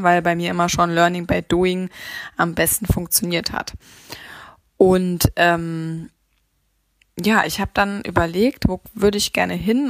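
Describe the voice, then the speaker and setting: Chatty woman with a gravelly voice. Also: medium pitch, no room sound. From Saarland, Germany. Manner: chatty, many fillers, lively retelling. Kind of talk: casual personal storytelling.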